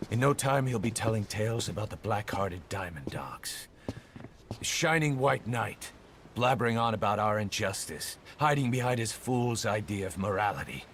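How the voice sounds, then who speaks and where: An actor playing a bitter, vengeful soldier in a video game, speaking with emphatic scorn. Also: male voice, on the low side, expressive, moderate pace, noisy background. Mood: bitter, scornful. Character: bitter, vengeful male soldier, angry second-in-command. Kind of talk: dramatic video game voice acting.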